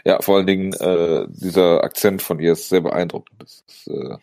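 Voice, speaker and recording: A man's voice: deep voice, creaky, dark tone. A man co-hosting a casual podcast chat, teasing the others and jumping in with short remarks. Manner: teasing, quick laughs, jumps in with short remarks. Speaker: podcast co-host.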